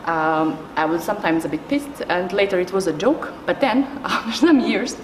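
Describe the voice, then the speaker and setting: A woman's voice: fairly low pitch, normal speed. A woman telling a casual personal anecdote. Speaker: youth network member. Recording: very echoey hall, faint noise.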